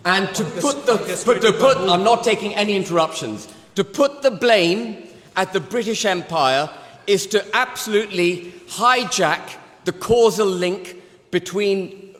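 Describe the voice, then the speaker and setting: A man in his sixties, from Pakistan, giving a forceful, rhetorical debate speech with emphatic repetition. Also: high-pitched. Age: 60s. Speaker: debate speaker. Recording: long hall reverb.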